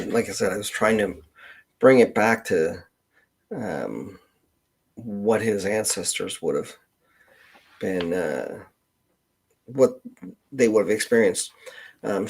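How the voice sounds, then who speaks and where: Husky low-voiced man, halting casual chat. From Canada. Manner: halting, hesitant, trailing off. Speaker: livestream host, genealogist.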